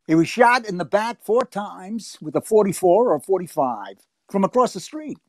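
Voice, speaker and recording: An actor playing a hesitant, conciliatory police detective, plain-spoken and halting, in 1940s radio drama style. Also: male voice, high-pitched, sing-song, no room sound. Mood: matter-of-fact.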